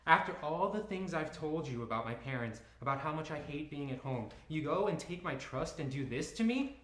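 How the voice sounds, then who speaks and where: Angry young college woman, read in a higher voice by a man; shrill, bitter, echoey room. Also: expressive, fast talker, fairly bright tone. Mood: furious. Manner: shrill, furious outbursts.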